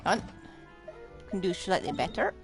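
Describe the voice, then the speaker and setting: Lively rambling woman livestreamer. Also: fairly low pitch, moderate pace, vocal fry, over music. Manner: rambling, thinking aloud. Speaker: game livestreamer. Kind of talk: casual livestream chat.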